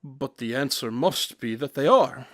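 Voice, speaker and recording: A man playing a cerebral, dry-witted consulting detective in an audio drama, speaking formally and deliberately with self-assurance. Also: medium pitch, expressive, fairly bright tone, no echo. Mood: probing. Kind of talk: modern audio drama acting.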